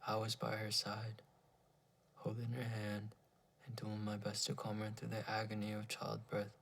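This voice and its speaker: A man with a gravelly, husky voice reading a first-person horror story in a brooding, dramatic, deliberate way. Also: on the low side, monotone, a bit bright, a little hiss or hum.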